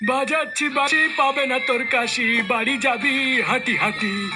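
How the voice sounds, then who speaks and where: A man's voice: extremely high pitch, a little slow. A man voicing a mischievous, taunting little cockroach in a comic cartoon dub, cocky and teasing. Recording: music under the voice.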